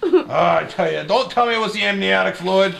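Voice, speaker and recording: Man putting on a high, creaky wisecracking baby. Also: expressive, a little fast, crowd noise, full character voice. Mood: grumbling, teasing. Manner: old-school nightclub comic delivery with repeated catchphrases. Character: wisecracking baby girl doing a stand-up monologue. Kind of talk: improvised comic monologue in a home video. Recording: noisy amateur home video with clicks.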